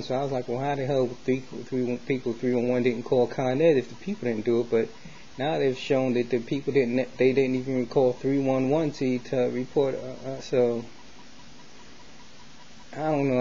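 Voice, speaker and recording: Mellow-voiced American man rambling, lo-fi recording. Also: medium pitch. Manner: hesitant, repeats and circles back, colloquial street slang. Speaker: vlogger sharing opinions. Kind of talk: casual rambling monologue to camera. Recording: dull lo-fi sound with faint noise.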